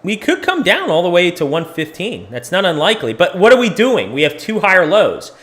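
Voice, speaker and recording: A man losing his voice, clearing his throat often, casually thinking aloud while he walks through crypto price charts as the host of a live chart stream. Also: high voice, huge pitch swings, brisk, fairly bright tone. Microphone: desk microphone.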